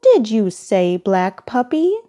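An eager shop salesman from a children's storybook played by a woman, formal and courteous. The pitch moves around in a lively way, with a mellow tone and persuasive patter. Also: slow. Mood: pleased.

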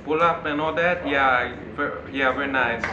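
A man's voice: medium pitch, normal speed, dull audio, loud music behind the voice. A young man with a Singaporean accent chatting casually to the camera. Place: indoor student studio room. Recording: echoey, very noisy room camera audio.

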